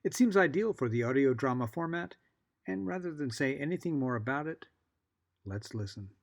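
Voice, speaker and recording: A middle-aged American man giving a scripted podcast introduction, articulate and measured, with wry asides. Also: mid-range voice, lively intonation, creaky. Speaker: podcast host.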